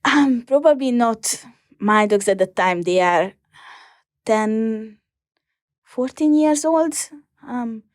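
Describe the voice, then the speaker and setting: Young Hungarian woman, interview guest, halting delivery, close dry sound. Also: on the high side, lively intonation, dry recording. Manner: hesitant, many ums and restarts. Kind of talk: casual interview answers.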